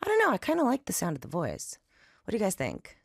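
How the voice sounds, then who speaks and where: A woman hosting a radio show, chatting and reading announcements in a raspy, slightly nasal voice after an allergy attack. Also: medium pitch, fast, no room sound, studio quality. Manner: chatty, loose and lively, with fillers. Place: radio studio. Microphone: studio microphone.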